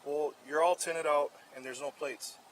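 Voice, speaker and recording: A man's voice: a little high, steady tone, moderate pace, slight background noise, low-quality recording. A man working as a police officer, speaking in a firm, matter-of-fact way outdoors at a roadside.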